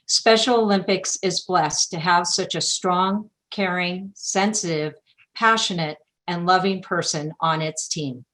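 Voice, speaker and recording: Formal low-voiced woman presenting an award. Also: unhurried, a bit bright. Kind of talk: formal scripted speech, read aloud. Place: video call from an indoor room. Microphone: video call microphone.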